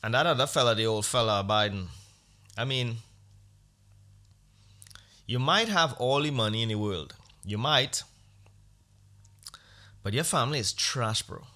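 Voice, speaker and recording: A man's voice: mid-range voice, animated, unhurried, long pauses, bright, forceful tone. A man hosting a podcast, talking in a blunt, sarcastic rant. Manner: blunt, ranting, sarcastic, profane. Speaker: podcast host. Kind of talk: casual opinionated podcast monologue.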